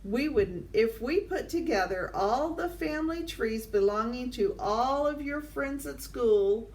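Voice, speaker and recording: An older woman reads a children's picture book aloud in a deliberate way, addressing young listeners. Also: sits high, lively intonation, darkish tone. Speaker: storytime reader. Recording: distant mic, faint background noise.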